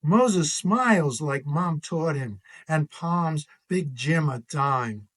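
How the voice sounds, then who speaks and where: Slow, expressive storybook read-aloud by a man. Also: a little high. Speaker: author reading own picture book.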